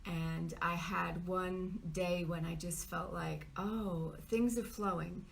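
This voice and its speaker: A woman's voice: on the low side, steady tone, takes it slowly, vocal fry, poor audio. Woman from England, casual storyteller. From Nottinghamshire, England. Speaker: guest, business coach and author.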